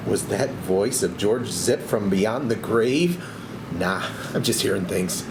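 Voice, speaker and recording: A man's voice: fairly low pitch, slow pace. A man reading a character's dialogue at a screenplay table read. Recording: noisy room recording.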